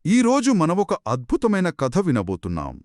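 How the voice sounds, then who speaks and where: An engaged story co-host speaks with a widely swinging, animated melody. The delivery is conversational and curious, built on questions and prompts, in a dry, close recording with no room sound. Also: medium pitch, normal speed, no echo.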